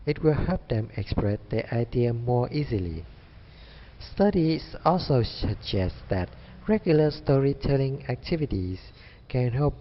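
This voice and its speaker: Lively-pitched young man, muffled recording. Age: young adult. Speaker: student presenter. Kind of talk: scripted group presentation. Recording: dull audio with faint background noise.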